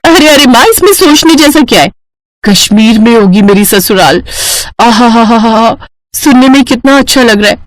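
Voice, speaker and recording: A woman voicing an excitable, whiny, naive young bride in a cartoon story. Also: fairly high pitch, moderate pace, no echo, natural voice, rough recording. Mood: excited, pleading. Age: young adult.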